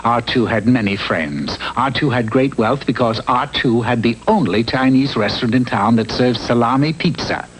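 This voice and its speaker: A man narrating a cartoon fairy tale in a measured, theatrical, tongue-in-cheek storybook style. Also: medium pitch, lively intonation, close to an everyday voice. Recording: noisy old TV cartoon soundtrack.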